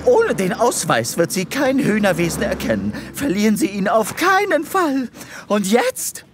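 Gushing, officious female chicken alien, hugely swooping intonation, unhurried, sci-fi audio comedy with noisy ambience. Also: mid-range voice, sing-song. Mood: brisk, bossy. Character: eager, brisk female chicken-creature official, admiring. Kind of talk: comic science-fiction radio play acting.

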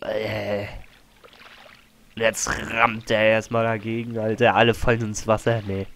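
Lässiger Let's-Player, leicht angeschlagen. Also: male voice, lowish voice, little pitch movement, measured pace, creaky, fairly bright tone, slight background noise. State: a little sick. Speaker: let's play host. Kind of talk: casual game commentary. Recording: faint game music behind.

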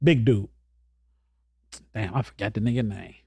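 A man's voice: fairly low pitch, moderate pace, gravelly, mellow, slightly rough, dry recording. A man co-hosting a podcast in casual, slangy, swearing chat, often jumping in with interjections. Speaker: podcast co-host. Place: indoor podcast studio. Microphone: close studio microphone on boom arm.

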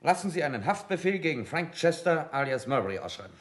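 An actor playing a brisk, sceptical police inspector in a German radio crime drama, blunt, formal and insistent. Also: male voice, on the high side, very animated.

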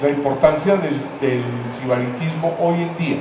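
A man moderating a public talk, measured and summarizing as he invites questions from the audience. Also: on the high side, background noise. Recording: echoey hall with long reverb from far off.

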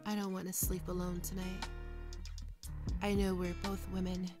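A woman's voice: fairly low pitch, level tone, moderate pace, music in the background, natural voice. A woman playing a grateful, sultry heroine on the run in a spy film love scene. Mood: tender, grateful.

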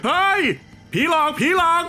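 A man playing a frightened young monk in a horror cartoon, jumpy and given to panicky exclamations. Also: moderate pace. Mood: terrified. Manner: panicky, shouting, coarse exclamations. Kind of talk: dramatic animated horror acting. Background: music.

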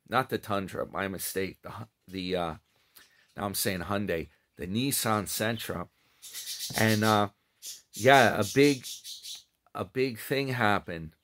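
A man's voice: fairly low pitch, fairly bright tone. A middle-aged man casually chatting to camera from a small room at home, rambling and correcting himself as he goes. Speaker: commentary vlogger. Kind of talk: casual commentary on another channel's videos. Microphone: webcam or phone close up.

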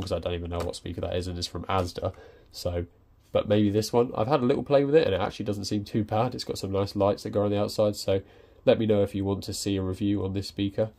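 A man's voice: sits low, warm tone. A young man talking casually through an unboxing at his desk, hesitant with many ums and restarts. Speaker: tech reviewer. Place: indoors at a desk.

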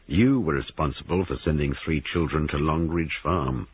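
Band-limited, radio-like sound frames a grave major voiced by a male narrator. He speaks formally and pointedly, quiet and measured, with a husky tone. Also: sits low, lively intonation, no room sound, narrow, tinny audio, voice pushed up. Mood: quietly accusing.

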